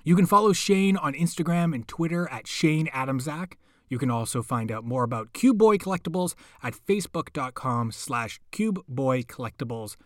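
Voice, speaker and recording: A man's voice: on the high side, animated, moderate pace, no echo, studio quality. A man hosting a podcast, reading a scripted introduction. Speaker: podcast host.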